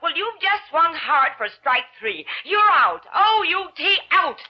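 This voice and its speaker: An actress playing a fed-up, sarcastic, scolding ballplayer's wife in an old American radio drama, with an American accent. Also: female voice, very high voice, big pitch swings, a little slow, heavily acted. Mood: angry scolding. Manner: sharp, nagging, sarcastic. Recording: dull vintage radio broadcast.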